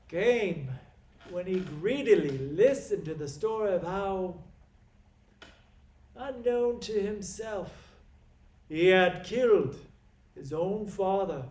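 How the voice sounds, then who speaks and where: A man playing a proud, scornful and stern king in a classical stage monologue, with theatrical, deliberate, emphatic delivery and dramatic pauses. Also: high-pitched, a little mellow, voice pushed up, heavily acted. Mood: bitter, brooding.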